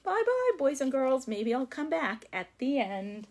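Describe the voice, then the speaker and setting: A woman with a fairly high voice runs a storytime for young children. She takes her time with each phrase, as a librarian reading aloud and leading sing-alongs. She addresses the children directly and invites them to join in. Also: sing-song, a little slow, darkish tone, a little hiss or hum.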